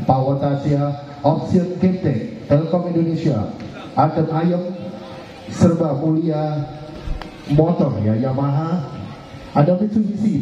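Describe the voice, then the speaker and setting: A man's voice: fairly high pitch, warm tone. A middle-aged man working as the MC at a night event, announcing the program in a loose, chatty way through a handheld microphone. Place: outdoor stage at night. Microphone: handheld mic over PA. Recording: distant, echoey PA, lo-fi camera.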